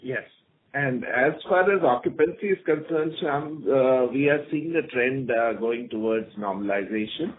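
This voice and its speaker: A man with an Indian accent, a senior executive answering on an earnings call in a halting way, repeating words. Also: medium pitch. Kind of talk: answering analyst questions on an earnings conference call. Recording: phone-like conference line with faint noise.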